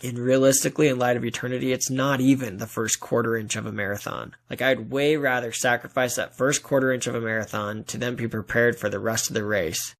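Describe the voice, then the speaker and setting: A man's voice: medium pitch, steady tone, a little fast, few pauses. Earnest man speaking as a podcast guest. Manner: earnest exhortation, talks in analogies and imagined dialogue. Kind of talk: earnest testimony in a podcast interview.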